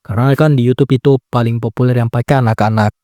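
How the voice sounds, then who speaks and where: A man's voice: mid-range voice, steady tone, quick pace, warm tone, dry recording, studio quality. A young man giving a casual, chatty step-by-step editing tutorial, laid-back with lots of filler tags. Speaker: tutorial creator. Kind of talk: casual screen-recording tutorial.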